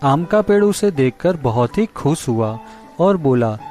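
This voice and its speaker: A man narrating a scripted children's story in a simple, measured way. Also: a little high, expressive, a little mellow, soft background music.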